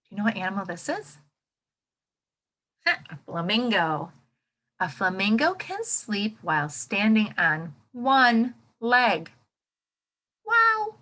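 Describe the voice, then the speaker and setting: A woman teacher reading a nonfiction book aloud to children, expressively, thinking out loud and putting questions to her listeners. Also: mid-range voice, very animated, slow, pauses often. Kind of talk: interactive children's nonfiction read-aloud lesson.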